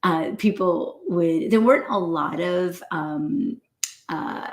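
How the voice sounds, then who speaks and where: Woman host from New York, lively spiritual talk show, keeps asking "right?" and laughing. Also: slow. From New York, USA. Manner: animated, tags lines with "right?", laughs often; acts out quoted voices in a high pitch. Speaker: host, doctor. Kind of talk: casual spiritual talk to live viewers.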